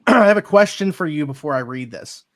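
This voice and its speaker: A man's voice: a little high, animated, fast. A man with a Pittsburgh accent chatting casually as co-host of a sports podcast. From Pittsburgh area, United States.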